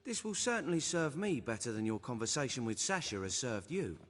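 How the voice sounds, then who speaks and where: An actor playing a disdainful tournament card player in a video game, haughty and formal. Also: male voice, medium pitch, sing-song, fairly quick, a bit bright, long reverb tail. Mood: cold, disdainful. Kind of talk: video game dialogue acting. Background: background music.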